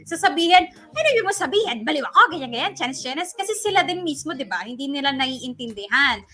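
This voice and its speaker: High, bright Filipino woman co-host. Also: a little fast. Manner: expressive, conversational, code-switching between two languages. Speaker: radio show co-host. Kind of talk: casual advice talk, giving commentary to listeners.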